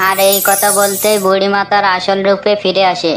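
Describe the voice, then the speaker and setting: A woman's voice: medium pitch, completely flat delivery, moderate pace, faint music behind. A woman narrating a scripted children's story.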